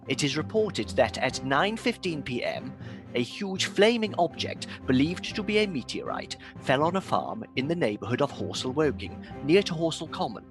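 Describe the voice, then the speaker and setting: An actor playing a formal male studio announcer reading news bulletins in a radio drama. His voice sits at a medium pitch, and music plays clearly behind him. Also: close to an everyday voice. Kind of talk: amateur radio play acting.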